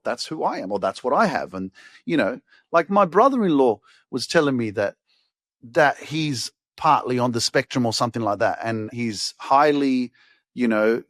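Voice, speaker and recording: A middle-aged man talking casually as a motivational podcast host, with a slightly higher voice for a man. He rambles through fillers and throws in swear words for emphasis. His voice is recorded close on the mic and sounds dry. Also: dry recording. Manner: loose and conversational, many fillers, swears for emphasis.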